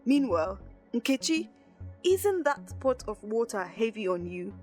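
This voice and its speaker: Unhurried, flattering young male suitor, woman acting, smooth, charming, storybook folktale, faint music. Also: fairly high pitch. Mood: dismissive, then courteous.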